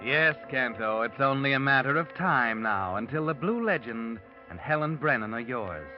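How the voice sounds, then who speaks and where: Male actor as a sly, taunting radio-drama narrator, lively voice, dull old recording, music under. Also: mid-range voice, normal speed, close to an everyday voice. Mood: sinister. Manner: insinuating second-person narration.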